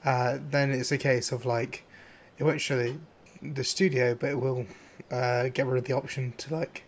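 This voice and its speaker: Hoarse, rambling male game streamer. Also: mid-range voice, level tone, normal speed. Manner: rambling, full of fillers and restarts. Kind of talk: casual gameplay commentary.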